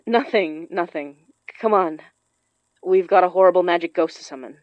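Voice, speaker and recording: A woman's voice: on the low side, normal speed, dry recording, lightly acted. A woman playing a determined, informal young spirit summoner in a horror audio drama, giving things a self-possessed, casual tone. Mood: teasing. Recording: dry, slightly dull studio sound.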